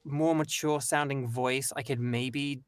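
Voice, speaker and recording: A man's voice: medium pitch, fairly even intonation, unhurried. A young man with an English accent, chatting as a voice-actor guest in a rambling, self-interrupting way. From England. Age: young adult. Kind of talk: casual interview chat.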